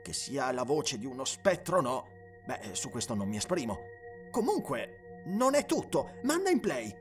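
A man voicing a smug, excited young ghost-hunting sleuth, eager and teasing in an audio story. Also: mid-range voice, moderate pace, distant mic, soft background music. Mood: smug, explaining. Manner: eager, teasing, pleased with himself.

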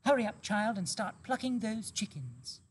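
A storybook narrator voicing a weak, hungry mother fox who murmurs faintly, then speaks briskly. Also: mid-range voice, big pitch swings, slightly rough, voice pushed up.

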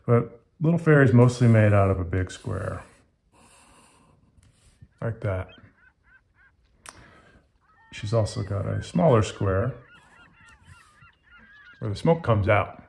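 A man's voice: lowish voice, expressive, slow, creaky, long pauses, darkish tone. A man in his fifties speaking casually and simply to children as an author and illustrator, reading aloud and guiding drawing. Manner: casual, simple wording, encouraging asides to young viewers. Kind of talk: children's picture-book read-aloud and drawing tutorial.

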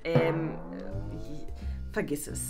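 A woman's voice: low-pitched, expressive, drawn-out words, heavily acted. A woman in her twenties playing a dramatic, easily exasperated sister in a children's web sketch, theatrical and whiny. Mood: panicked, reluctant. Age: 20s. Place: small furnished rooms, indoors. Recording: loud music behind the voice.

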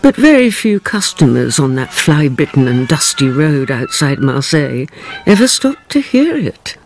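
An actress playing a brooding storyteller, giving deliberate, dramatic narration in a radio drama. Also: female voice, deep voice, very animated, a little mellow, a little hiss or hum. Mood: knowing.

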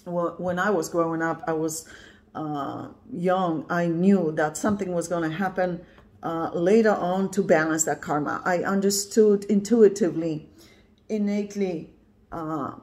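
A woman's voice: on the low side, steady tone, measured pace, darkish tone. A woman in her fifties from Poland giving an intuitive tarot reading, emphatic and repeating "yeah" and "right?" as she talks. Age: 50s. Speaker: tarot reader. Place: indoors at a card table, camera on the cards.

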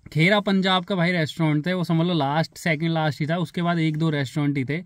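A man's voice: on the high side, steady tone, a little fast, dry recording. A man vlogging a road trip from his car, chatting casually with his viewers. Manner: chatty, keeps saying "bhai" and "hai na". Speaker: travel vlogger. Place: inside a moving car on the road. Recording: noisy car interior.

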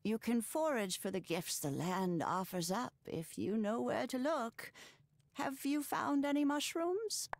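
A woman voicing a gentle, wise old grandmother with a creaky voice in a video game. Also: a little high, expressive, measured pace, no room sound. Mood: warm, wistful. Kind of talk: video game character acting.

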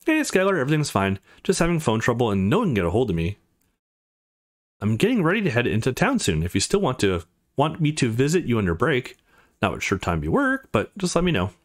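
A man voicing an apologetic, reassuring young male student in a visual novel, in an earnest, expressive way. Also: medium pitch, a little fast. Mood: friendly.

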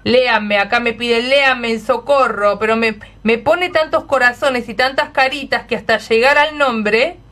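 An Argentine woman hosts a chatty radio interview. Her voice is fairly high and very bright, and she talks effusively, gushing as she goes. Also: moderate pace, hardly any pauses. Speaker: radio host. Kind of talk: lively unscripted radio chat.

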